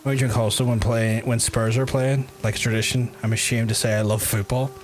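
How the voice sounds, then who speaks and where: A man's voice: medium pitch, level tone, moderate pace. A young Irish man chatting casually while livestreaming a video game, rambling with fillers and casual swearing. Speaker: game streamer.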